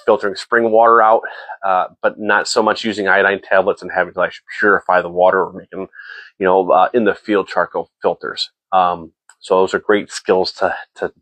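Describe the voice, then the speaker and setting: A man's voice: low voice, flat delivery, moderate pace, a bit bright, dry recording, rough recording. A man hosting a casual gear talk, sounding stuffy and a bit nasal, rambling with repeated words and frequent ums. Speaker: host.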